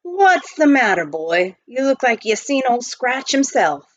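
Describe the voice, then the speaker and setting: A woman's voice: on the high side, sing-song, a little slow, no echo, dull audio, voice pushed up. A woman reading a children's storybook, voicing a big reformed outlaw with a drawling, rustic, amused frontier way of talking. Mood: folksy, teasing. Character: reformed outlaw, big man, folksy and teasing. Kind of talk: children's storybook read aloud.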